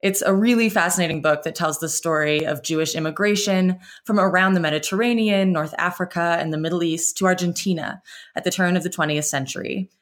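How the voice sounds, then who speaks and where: A woman hosting a podcast interview, giving measured introductions and questions. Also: lowish voice. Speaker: podcast host.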